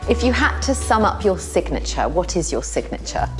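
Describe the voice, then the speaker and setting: A woman's voice: on the low side, sing-song, moderate pace, rough recording. A woman television presenter interviewing a guest, asking questions in an encouraging, upbeat way. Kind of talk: interview host. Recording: light music bed behind.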